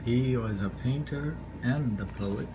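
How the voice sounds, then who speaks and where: A man reading poetry informally, unhurried and rambling, repeating lines and adding offhand commentary. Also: medium pitch. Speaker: poetry reader. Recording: noisy room ambience, amateur home recording.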